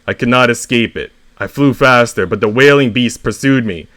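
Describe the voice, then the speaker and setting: A man's voice: medium pitch, moderate pace, fairly bright tone, close to an everyday voice. A man voicing an indignant, melodramatic honeybee, a devoted father, in a dramatic, aggrieved story reading.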